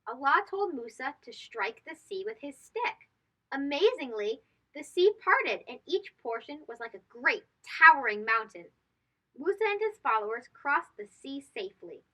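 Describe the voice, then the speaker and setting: A woman's voice: high-pitched, big pitch swings, moderate pace, pushed and bright, poor audio. A woman reading a children's storybook aloud, expressively and speaking directly to young listeners. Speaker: host of children's read-aloud show.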